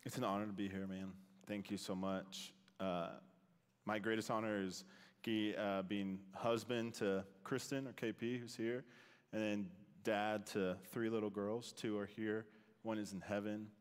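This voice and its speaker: A man in his thirties speaking as a guest in a church, casual and animated, laughing as he tells stories. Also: lowish voice, measured pace, smooth. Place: church sanctuary. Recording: hall reverb.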